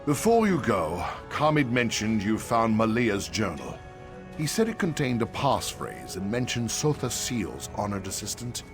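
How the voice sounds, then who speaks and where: A man as a weary old survivor, low and swooping. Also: big pitch swings, measured pace. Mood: regretful. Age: older. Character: weary, cautious old male pilgrimage survivor, regretful. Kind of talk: AI-voiced fantasy game dialogue. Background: game music.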